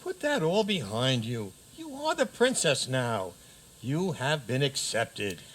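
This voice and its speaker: A man's voice: medium pitch, big pitch swings, a bit bright, exaggerated voice, poor audio. An actor playing a pompous, impatient frog-turned-king who judges disputes in a comic radio play. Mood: impatient judging. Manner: pompous, brisk. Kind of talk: comic community radio theater.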